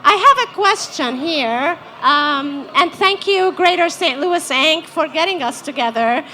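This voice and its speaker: A woman's voice: high-pitched, animated, a little slow, pushed and bright, a little hiss or hum. A Lebanese-accented woman from Lebanon leading questions on a live panel, enthusiastic and fond of tag questions. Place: stage at a festival panel.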